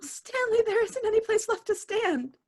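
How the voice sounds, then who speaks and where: A woman's voice: very high voice, lively intonation, normal speed, a little mellow. An actress playing a frightened, desperate woman in an old-time radio drama, pleading melodramatically. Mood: terrified pleading. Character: frightened, pleading woman trapped in mortal danger.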